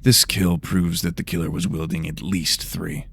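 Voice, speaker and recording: A man's voice: low voice, level tone, normal speed, gravelly, fairly bright tone, husky, heavily acted. A man playing a brusque investigator of magical murders in a fantasy audio drama, blunt and demanding. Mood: grim, explanatory.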